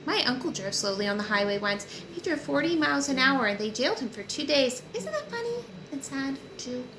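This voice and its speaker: A chatty, curious teenage girl from a novel, voiced by a woman reading aloud. She is earnest and guileless, asking and musing freely. The sound is echoey with clear noise behind it. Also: sits high, very animated, fairly quick, pitched above the performer's own voice. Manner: inquisitive, earnest. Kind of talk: audiobook character reading. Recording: roomy echo with clear background noise.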